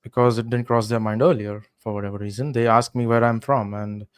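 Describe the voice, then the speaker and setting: A young man from India, a podcast guest, telling travel stories in relaxed casual chat with many fillers. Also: medium pitch, a little fast, clean tone, no echo, studio quality.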